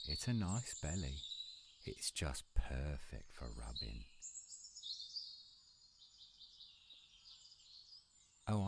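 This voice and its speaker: An extremely low-pitched, gruff werewolf lover acted by a man murmurs through a romantic audio roleplay. He teases in a folksy, easygoing way and slips in endearments. Loud birdsong and insect noise from the woods surrounds him throughout. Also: exaggerated voice. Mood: flirtatious, relaxed. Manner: teasing, folksy, drawling endearments. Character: gruff tsundere male werewolf, flirtatious, laid-back romantic. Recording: noisy outdoor ambience with birdsong, insects.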